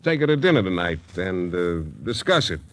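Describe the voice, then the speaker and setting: An actor playing a wry, terse, flirtatious hardboiled private detective in a 1940s radio drama. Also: male voice, low voice, sing-song, moderate pace, fairly bright tone. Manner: wry, terse tough-guy patter. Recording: 1940s radio recording with music.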